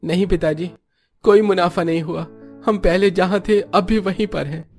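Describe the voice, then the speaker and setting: An actor playing a poor, troubled but patient young farmer husband in a cartoon story. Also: male voice, high-pitched, fairly even intonation, normal speed, darkish tone, faint music behind. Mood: dejected.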